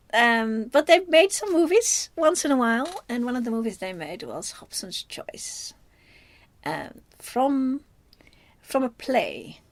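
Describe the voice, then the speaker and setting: A woman with a Dutch accent giving a casual film review, speaking haltingly as she thinks aloud. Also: on the high side, big pitch swings. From Netherlands.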